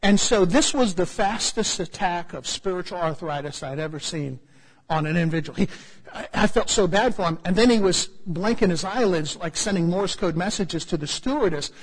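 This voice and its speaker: Husky American preacher in a big hall. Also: male voice, high-pitched, normal speed. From United States. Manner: humorous, animated storytelling with asides. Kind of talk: storytelling sermon.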